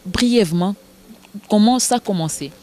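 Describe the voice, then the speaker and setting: A woman's voice: mid-range voice, moderate pace, mellow. Woman interviewing, hesitant. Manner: hesitant, many fillers. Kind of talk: press interview.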